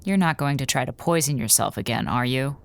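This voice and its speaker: An actress playing a wary, exasperated lieutenant commander in a space audio drama, dry and measured. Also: female voice, extremely low pitch, no room sound. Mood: skeptical.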